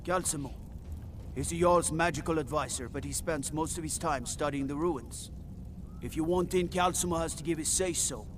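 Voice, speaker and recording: Guy as a stern guard in a fantasy game, slightly high voice, noisy background. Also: normal speed, natural voice. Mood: stern, informative. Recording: game audio with clear background noise.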